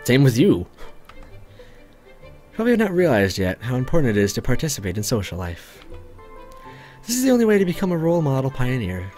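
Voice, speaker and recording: A Canadian man voicing a bossy adult woman who runs a summer camp in a story game. She instructs and lectures in a somewhat raised, lively voice. Faint music sits underneath. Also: medium pitch, a little fast, pauses often. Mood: lecturing.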